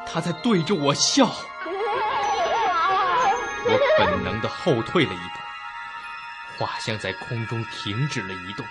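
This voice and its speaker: A man's voice: medium pitch, measured pace, long pauses, over loud music. An actor playing an uneasy young man, telling a ghost story in a suspenseful, dramatic first-person style. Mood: suspenseful, fearful. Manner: suspenseful, expressive. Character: uneasy young man narrating his haunted inn ordeal.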